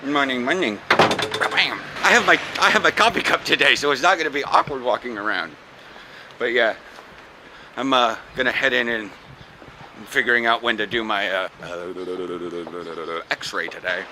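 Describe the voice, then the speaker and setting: A man's voice: medium pitch, moderate pace, long pauses, bright, forceful tone, rough recording. A young man from the United States chatting casually and rambling for a vlog, laughing often, recorded outdoors on city streets and in a car with a handheld camera. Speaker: vlogger. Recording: clear traffic noise outdoors.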